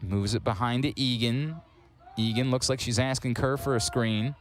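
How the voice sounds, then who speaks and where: Man calling a lacrosse game. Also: mid-range voice. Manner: quick, excitable calls on big plays. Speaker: sports commentator. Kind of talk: live sports play-by-play commentary.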